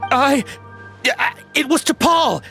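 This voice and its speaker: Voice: sits high, expressive, slow pace, bright, forceful tone, soft background music, full character voice. An actor voicing a cowardly hired poacher in a video game, nervous and stammering. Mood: shaken. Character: cowardly, greedy hired poacher, blustering then panicky.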